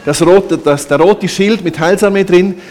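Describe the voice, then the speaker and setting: Swiss German man, relaxed explainer. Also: sits high, unhurried. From Norway and Switzerland. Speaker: Salvation Army officer, guest preacher. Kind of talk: church service interview.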